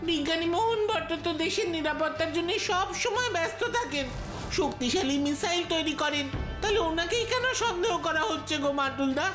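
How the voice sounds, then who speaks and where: Very high-pitched curious cartoon kid. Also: female voice, expressive, fairly quick. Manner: inquisitive, earnest. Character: curious, earnest young child sidekick. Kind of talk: cartoon voice acting. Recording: roomy echo, loud music behind.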